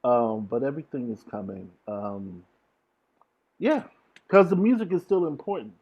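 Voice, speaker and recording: A man's voice: mid-range voice, very animated, moderate pace, husky. A man being interviewed as a guest, chatting casually and earnestly in slangy, informal language over a video call. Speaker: interview guest, DJ and music producer. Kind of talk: casual chat. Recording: dull lo-fi video call audio.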